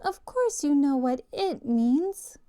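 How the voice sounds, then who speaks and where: Storybook mouse, played by a woman: high voice, pompous lecturer, easily ruffled. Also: lively intonation, slow pace, warm tone. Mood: cross. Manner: pompous, clipped, politely cross. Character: self-important, easily ruffled mouse giving a dry lecture. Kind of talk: storybook character voice.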